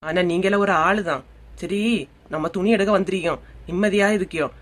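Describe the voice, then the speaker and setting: Woman voicing a fast-talking, nagging Kanyakumari village woman. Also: fairly low pitch, fairly even intonation, natural voice. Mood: wry, nagging. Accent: Kanyakumari Tamil. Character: sardonic, nagging village woman out shopping with friends. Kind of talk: comic cartoon dialogue in local slang.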